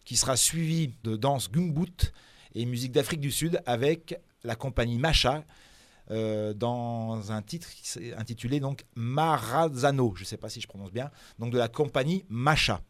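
A man's voice: mid-range voice, moderate pace, no echo. A man whose first language is not French, presenting a cultural program in a radio interview, hesitant with many fillers and restarts.

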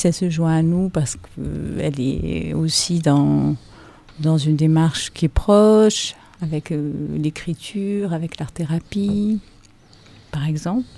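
A woman who teaches dance, answering interview questions slowly and hesitantly, with fillers. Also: lowish voice, mellow.

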